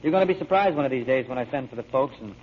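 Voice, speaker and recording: An actor playing a feckless, remorseful grown son and brother who blames himself and promises big, in 1940s radio drama style. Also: male voice, mid-range voice, lively intonation, racing, natural voice. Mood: apologetic, resolved. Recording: muffled, hissy old radio broadcast.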